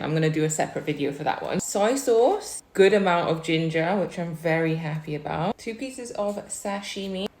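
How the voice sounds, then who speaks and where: A woman's voice: lowish voice, roomy sound. A young woman from Wales, hosting a casual eating vlog, chatting about herself and humming as she tastes her food. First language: Chinese. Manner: chatty, savouring food with soft hums between remarks. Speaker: food vlogger.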